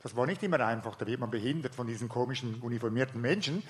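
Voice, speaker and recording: A man's voice: mid-range voice, expressive, very fast. A man from Switzerland with a Swiss German accent, giving a rousing speech to a live audience and asking the crowd questions. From Switzerland, canton Schwyz. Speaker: activist group speaker.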